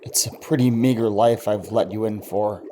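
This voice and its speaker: A man playing a gentle, devoted husband and scientist in an old-time radio drama, speaking soberly. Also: on the low side, fairly even intonation, moderate pace, slight background noise, close to an everyday voice. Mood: concerned, tender.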